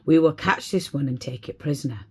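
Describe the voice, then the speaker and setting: A husky-voiced reader playing a stern, commanding fairy lord in a storybook, speaking grimly and firmly. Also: mid-range voice, a little fast. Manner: grim and firm.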